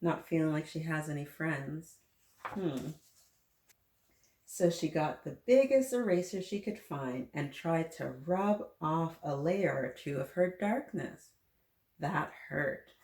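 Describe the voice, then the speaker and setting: A woman with a fairly low voice hosts a children's story time at an unhurried pace. She reads aloud in a gentle, encouraging way, adding asides and questions for young listeners. The room gives her voice a noticeable echo.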